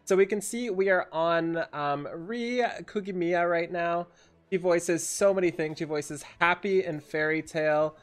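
A young man hosting a casual game update video, excitable and given to hyperbole and repetition, speaking at a desk mic. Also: on the high side, unhurried. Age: young adult. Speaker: gaming video host and streamer. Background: music.

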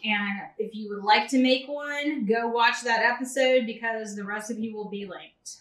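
Chatty high-voiced woman in kitchen. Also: unhurried, fairly bright tone, far from the mic. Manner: chatty and enthusiastic, addresses viewers as y'all. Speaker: cooking channel host. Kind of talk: casual cooking vlog. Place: large home kitchen. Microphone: camera a few feet away. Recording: echoey kitchen, voice a bit off-mic.

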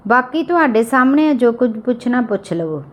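A woman voicing a brisk, pushy male village matchmaker in an audio story. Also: fairly high pitch, lively intonation, natural voice. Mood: businesslike.

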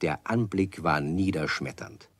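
A man acting as storyteller in a children's radio play, narrating in measured storybook fashion. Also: low-pitched, expressive, slight background noise. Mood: storytelling. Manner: measured storybook telling, addresses listeners. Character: male storyteller of a children's tale.

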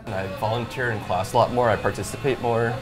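A man's voice: lowish voice, steady tone. A man in his twenties, an eager former exchange student talking about his time abroad, recorded on a lapel mic in a small shop. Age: 20s. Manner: eager, talkative, quick restarts. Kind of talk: casual interview talk. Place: small shop with shelves, indoors.

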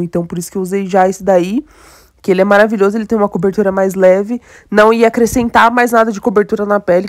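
A woman's voice: medium pitch, no room sound, clean studio sound. A young Brazilian woman with a stuffy, nasal voice from a cold, chatting enthusiastically to viewers during a makeup tutorial. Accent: Brazilian Portuguese. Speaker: beauty vlogger. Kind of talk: casual makeup tutorial chat.

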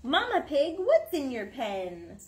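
A young woman doing an animated picture-book read-aloud for her toddler, playful, sing-song and encouraging, chatting to the child as she goes. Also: high voice, slow. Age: young adult. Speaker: mother reading storytime to her toddler. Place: outdoor backyard patio. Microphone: camera a few feet away.

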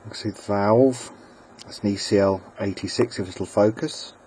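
A man giving a casual, plain-spoken and slightly halting hobbyist demonstration, presenting his own equipment. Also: fairly low pitch, warm tone. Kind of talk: casual hobbyist demo narration. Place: indoors at a workbench. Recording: clear background noise, amateur camera audio.

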